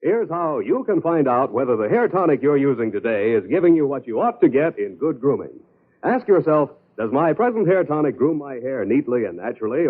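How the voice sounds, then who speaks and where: A man's voice: a little high, slightly rough, phone-quality sound. An American man announcing in a polished 1940s radio advertising style. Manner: polished sales delivery. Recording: old band-limited radio broadcast, music behind.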